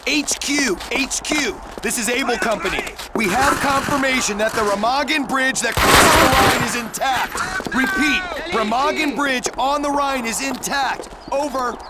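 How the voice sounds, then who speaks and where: Soldier calling hq in a war game, super high urgent voice, tons of noise behind. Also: male voice, unhurried. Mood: urgent, excited. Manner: emphatic radio reporting. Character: urgent male soldier radioing headquarters. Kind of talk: video game war cutscene acting. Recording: very loud background noise. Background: loud noise and clatter.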